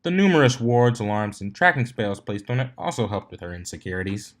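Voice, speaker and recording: A man's voice: lowish voice, big pitch swings, a little fast. A man reading a fan fiction story aloud as its narrator, in scripted storybook style.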